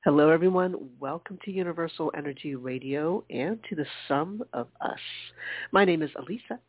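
A woman's voice: deep voice, brisk, breathy edge, dry recording. A congested woman co-hosting a casual radio chat, chatty and trailing off with fillers. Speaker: co-host. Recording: tinny phone-like dry line.

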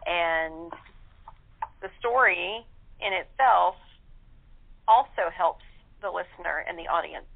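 Woman, lowish pitch, earnest reflective guest, deliberate phrasing, narrow telephone sound. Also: gravelly. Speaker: radio-play cast member, guest. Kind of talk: reflective panel discussion.

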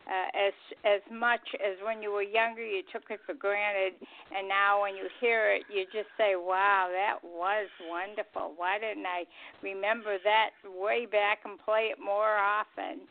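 A woman's voice: medium pitch, flat delivery, a little slow. An older woman co-hosting a radio show in casual conversation over a narrow phone line.

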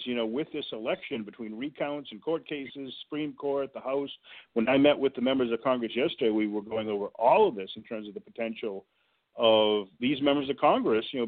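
A man calling in to a talk show as a guest and making his case emphatically, with sports comparisons. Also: mid-range voice. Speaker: guest advocacy director. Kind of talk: phone-in radio interview. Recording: tinny phone line.

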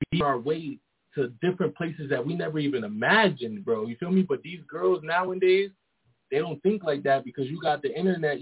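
A man's voice: a little high, slightly rough. A man in his twenties talking casually and at length, often checking in with "you feel me". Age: 20s. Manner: rambling, frequent "you feel me". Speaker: guest. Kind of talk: casual chat. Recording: tinny phone-like sound.

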